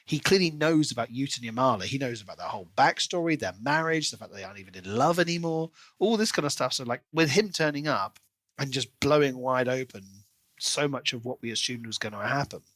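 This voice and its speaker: A man with a bright, forceful voice, recorded dry and close. He talks casually as a podcast co-host, thinking aloud and posing questions as he builds theories. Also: mid-range voice, lively intonation, fairly quick, dry recording. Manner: speculative, questioning. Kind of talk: casual book discussion.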